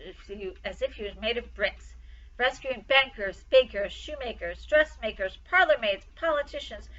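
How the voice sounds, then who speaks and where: A woman's voice: fairly high pitch, pushed and bright, muffled recording. A woman reading a children's story aloud with dramatic, emphatic expression. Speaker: storytime reader.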